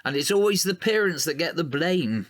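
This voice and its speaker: A man playing a weary, resigned middle-aged father in a comic audio drama, terse and deadpan. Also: a little high, moderate pace, close to an everyday voice. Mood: aggrieved.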